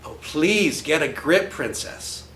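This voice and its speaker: A man's voice: a little high, animated, takes it slowly, a bit bright. A man reads a children's storybook aloud as a brash boy narrator. His delivery is slangy and emphatic, recorded in an echoey room with faint music behind him. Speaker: teacher reading a picture book aloud. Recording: roomy echo with faint music.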